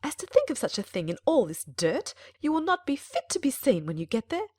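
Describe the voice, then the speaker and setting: A woman voicing a fussy, excitable, scheming middle-aged mother who exclaims and dismisses in a dramatized audiobook. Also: high-pitched, a little fast, no room sound, studio quality, pitched above the performer's own voice. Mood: scolding.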